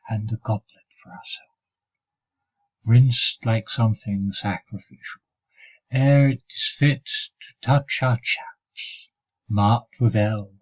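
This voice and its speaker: Man playing a husky, slow-spoken spiteful monk. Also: on the low side. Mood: sneering, mocking. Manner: sneering, sarcastic, growling asides. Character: spiteful, jealous monk seething with hatred for a fellow brother. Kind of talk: dramatic poetry recitation, 19th century monologue. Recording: dull lo-fi recording.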